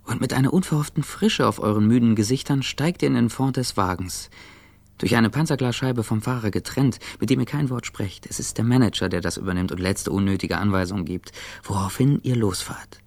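A man's voice: fairly low pitch, fairly quick, darkish tone, dry recording. An actor playing a weary, self-observing seducer who narrates his own story in second person in a radio drama. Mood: intimate, observant.

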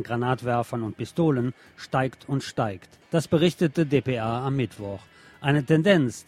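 Man presenting scripted radio commentary over music. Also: mid-range voice. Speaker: radio host.

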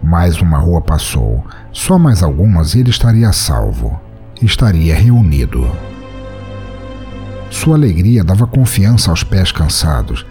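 Man, Brazilian accent, fiction narration, lively varied intonation, ironic, soft music behind. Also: low voice, measured pace. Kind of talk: dramatic scripted fiction narration.

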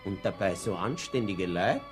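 A man's voice: deep voice, lively intonation, moderate pace. An actor playing an anxious, kindly middle-aged father in a 1960 radio drama, speaking colloquially and emphatically with an Austrian accent. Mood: shocked, uneasy. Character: anxious, kindly middle-aged father, a minor party-member civil servant. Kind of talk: 1960 German-language radio drama acting. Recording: older radio drama, faint music.

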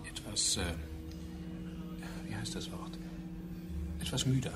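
A man's voice: fairly low pitch, slow pace, whispered. A man playing a weary, hesitant slave worker of the first generation in a science fiction radio drama. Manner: tired, hesitant. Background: loud music.

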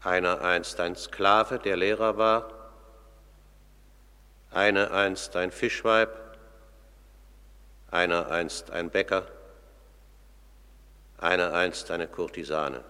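An actor playing a solemn herald of the underworld court, announcing ceremoniously in a radio drama. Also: male voice, deep voice, sharp tone, smooth, exaggerated voice. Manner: formal, ceremonious, measured. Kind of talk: scripted radio drama narration. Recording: long cavernous hall reverb.

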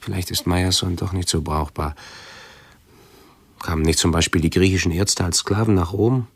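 A low-voiced, fretful hypochondriac in a radio drama. His speech is slightly husky and pedantic, halting as he rehearses and corrects himself. The recording is close and dry. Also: steady tone, dry recording. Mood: agitated pondering. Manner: pedantic, halting, self-interrupting, brooding aloud. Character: anxious hypochondriac man obsessively rehearsing pleas to doctors. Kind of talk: German radio play monologue.

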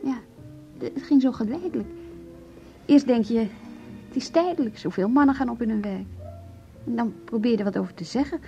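A woman's voice: a little high, a little fast, pauses often, mellow, close to an everyday voice. An actress in a radio play voicing a weary, hurt wife in her forties who confides about her marriage. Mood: hurt, reflective. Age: 40s. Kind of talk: Dutch radio play acting. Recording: dull lo-fi radio play recording, music.

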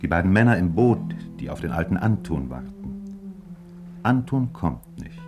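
A man narrating a 1950s German radio play as a contemplative storyteller, measured and literary, pausing between phrases. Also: low-pitched, sing-song, music in the background. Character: measured, contemplative storyteller describing an old harbour town.

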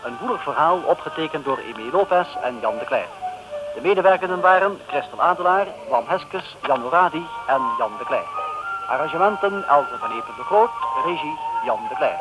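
A man's voice: fairly high pitch, huge pitch swings, brisk. A man announcing scripted credits for a 1950s radio serial, over theme music. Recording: dull vintage radio recording, loud music. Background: loud theme music.

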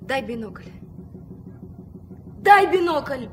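A high, youthful female voice plays an impatient granddaughter full of worry. It is a Soviet radio drama, an old recording with clear noise behind the voice. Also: very animated, very slow, full character voice. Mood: urgent, pleading. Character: anxious, impatient young granddaughter aboard a riverboat. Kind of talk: Soviet radio play acting. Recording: noisy old mono radio play recording.

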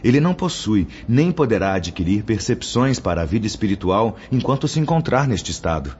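A man's voice: fairly low pitch, sing-song, brisk, darkish tone, slight background noise. A man playing a grave, patient spirit mentor in a radio drama, teaching in formal diction. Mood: patient, admonishing. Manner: solemn, didactic, formal diction. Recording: dull lo-fi audio under music.

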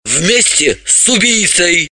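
A man's voice: on the high side, very animated, normal speed, bright, forceful tone, no echo. A man voicing a good-natured woman company boss who is curious and easily surprised, in audiobook dialogue. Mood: speculating. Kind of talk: audiobook character voice.

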